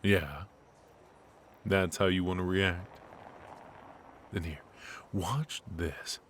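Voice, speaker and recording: A man playing a suave, teasing, flirtatious mafia boss in an intimate ASMR roleplay, murmuring asides with hums and pauses. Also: sits low, steady tone, a little hiss or hum. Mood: teasing, mock-aggrieved. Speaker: voice actor in an audio roleplay. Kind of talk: ASMR roleplay acting, intimate one-sided conversation.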